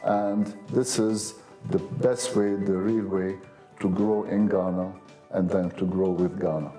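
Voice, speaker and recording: A man, an auto industry manager, speaking haltingly with many fillers in an interview. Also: sits low, fairly even intonation, mellow, faint music behind.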